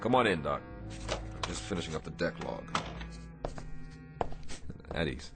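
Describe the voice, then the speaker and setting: An actor playing a gruff, burdened destroyer captain in a radio drama, with a clipped, commanding manner. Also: male voice, very deep voice, lively intonation, measured pace, over loud music, exaggerated voice. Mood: brisk, controlled.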